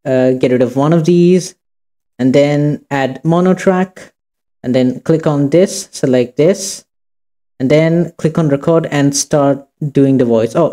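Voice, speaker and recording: A man with a soft, dark-toned voice at a middling pitch walks through an informal tutorial. He speaks casually and feels his way through the steps. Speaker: tutorial host. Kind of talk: informal screen-recorded tutorial.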